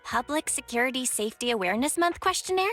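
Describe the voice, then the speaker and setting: Game role: a friendly, careful young woman, slightly high voice. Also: animated, fast, a bit bright, soft background music. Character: cautious young female shop manager.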